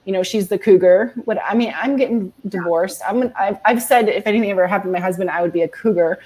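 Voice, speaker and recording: A woman's voice: mid-range voice, fast, a little mellow. A woman in her forties, a guest author chatting casually, rambling with many restarts and fillers. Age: 40s. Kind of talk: casual video-call chat.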